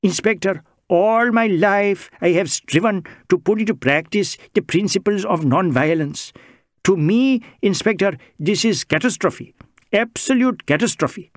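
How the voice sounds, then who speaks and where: Guy doing a jittery wordy social worker, high pleading voice, dry sound. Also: unhurried, dry recording. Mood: distressed. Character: anxious fussy middle-aged male social worker.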